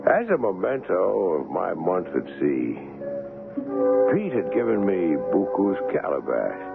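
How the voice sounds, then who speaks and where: An actor playing an earnest, skeptical doctor telling of a sea adventure in a 1970s radio drama. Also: male voice, deep voice, sing-song, unhurried, gravelly, heavily acted. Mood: reflective. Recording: tinny vintage radio, music behind.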